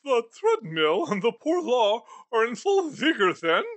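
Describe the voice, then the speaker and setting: A bitter old miser, voiced by a man reading a storybook, all scorn and clipped sarcasm. His pitch leaps all over the place, and the sound is dry and close with no room around it. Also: huge pitch swings, unhurried, fairly bright tone, no room sound, heavily acted. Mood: coldly dismissive.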